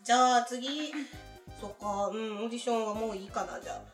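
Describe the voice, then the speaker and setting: A woman hosting a casual round-table radio chat, giggly and animated in manner. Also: a little high, fast. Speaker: host of a voice-actor radio talk. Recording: roomy sound with background music.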